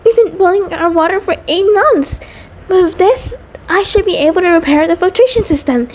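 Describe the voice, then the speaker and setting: A woman's voice: very high voice, expressive, normal speed. A woman playing an anxious, affectionate female centipede monster, nervous, stammering and apologetic, in an intimate roleplay audio drama. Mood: pleased, focused.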